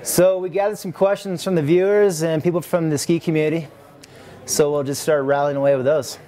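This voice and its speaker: A man's voice: a little high, steady tone, fairly quick, crowd noise. A young man from Canada, a pro skier, chatting casually and easily in a lodge room. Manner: easygoing, laid-back, laughing often. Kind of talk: casual chat. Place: lodge room with trophies, indoors.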